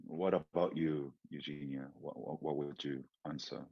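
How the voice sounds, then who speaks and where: A very deep, husky-voiced man from Argentina leads a panel discussion, posing questions and thinking out loud. The sound is dry and close, with slightly muffled lo-fi quality. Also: fairly even intonation, moderate pace, no room sound. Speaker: moderator. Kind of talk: panel conversation.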